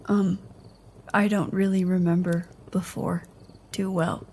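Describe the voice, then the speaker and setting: An actress playing a cautious, polite traveler in an audio drama, speaking in a guarded, understated, careful way. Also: female voice, on the low side, level tone, slow, mellow, a little hiss or hum. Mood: hesitant, careful. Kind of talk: naturalistic audio drama dialogue.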